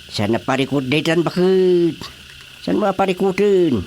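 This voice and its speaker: A man's voice: high-pitched, expressive, a little slow, darkish tone, slight background noise. Joking old Ilocano village chairman. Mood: teasing, jovial. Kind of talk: Ilocano radio drama comedy acting.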